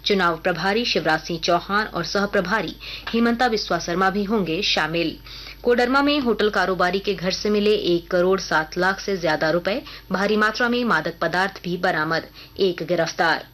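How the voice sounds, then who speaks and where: A woman news reader delivering a scripted regional radio bulletin in a formal, measured announcing manner. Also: mid-range voice, fairly bright tone.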